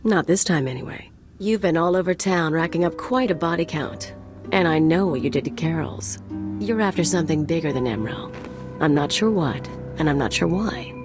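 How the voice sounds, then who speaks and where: A woman's voice: sits low, lively intonation, moderate pace. A woman playing a cocky, sardonic mercenary in a video game, wry, cool and teasing. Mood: taunting. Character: cocky, sardonic female mercenary, tough and flirtatious. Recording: music under the voice.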